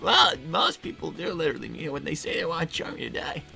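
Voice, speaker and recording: A woman voicing a meek, awkward young boy fox from a cartoon, with a hesitant, defensive manner and a raspy boyish voice. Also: low-pitched, big pitch swings, quick pace, bright, forceful tone, faint music behind, heavily acted. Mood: hesitant, deadpan. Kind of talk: improvised in-character gaming livestream banter.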